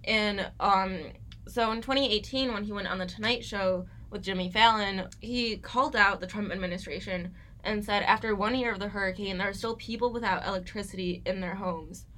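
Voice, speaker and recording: Bright-voiced young woman explaining eagerly. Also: medium pitch, fairly quick. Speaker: podcast co-host. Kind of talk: casual scripted discussion.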